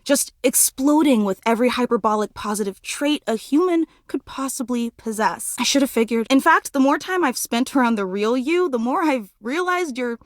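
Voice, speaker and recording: High, swooping, excitable young woman, voiced by an actress. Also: normal speed, hardly any pauses, dry recording, studio quality, close to an everyday voice. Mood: wistful, frank. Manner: dramatic, gushing, casual youthful slang. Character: excitable, starstruck female pedestal fan, gushing superfan turned introspective. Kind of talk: video game voice acting, romance dialogue.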